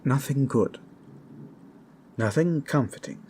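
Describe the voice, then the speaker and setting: A man playing a gruff, protective, steady adventurer in a fantasy roleplay, speaking tersely but reassuringly. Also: medium pitch, takes it slowly. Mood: somber, reassuring. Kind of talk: fantasy roleplay audio acting. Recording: storm noise behind. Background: rain and thunder.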